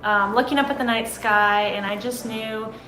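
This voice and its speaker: A woman engineer from North Dakota speaks thoughtfully, pausing now and then as she gathers her words. Her voice has a roomy echo around it. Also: on the high side, steady tone, sharp tone. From North Dakota, USA. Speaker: mechanical and aerospace engineer. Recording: echoey room.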